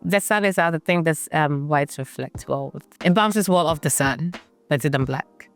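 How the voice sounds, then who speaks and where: A woman in her thirties from Ghana, a guest in a casual interview, talking in a reflective way with sudden jumps in pitch. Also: normal speed, no echo, soft background music.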